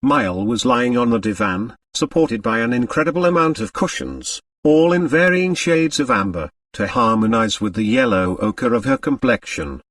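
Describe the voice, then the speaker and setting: A man's voice: mid-range voice, expressive, normal speed, hardly any pauses, fairly bright tone, far from the mic. A man narrating fiction as a scripted audiobook, reading expressively.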